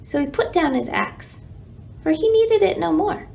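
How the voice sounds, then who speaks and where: High, animated woman reading a storybook. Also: measured pace, creaky. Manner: expressive, dramatic storytelling. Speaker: library storytime host. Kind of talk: children's picture book read-aloud.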